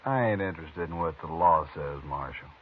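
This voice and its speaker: A man's voice: extremely low pitch, expressive, brisk. Grim, vengeful frontiersman. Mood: menacing. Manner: blunt and threatening. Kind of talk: 1950s radio acting. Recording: tinny old radio broadcast with hiss.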